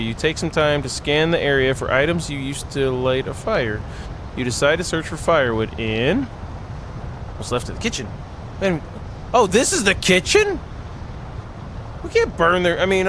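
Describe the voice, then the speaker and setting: A man with a fairly high, very animated voice plays through a story game. He reads the narration aloud and drops in jokey asides, with faint noise in the background. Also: rough recording. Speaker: gaming video host. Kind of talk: playful let's play commentary, reading narration aloud.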